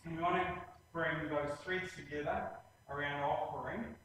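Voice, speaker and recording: A man's voice: fairly high pitch, fairly even intonation, a bit bright, far from the mic, a little hiss or hum. New Zealand man in a very echoey hall. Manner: plain spoken, many "uh" pauses, leans on "actually". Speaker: hospital executive presenting plans. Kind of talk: presentation in a lecture series. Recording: very echoey room, voice from afar, faint ambience.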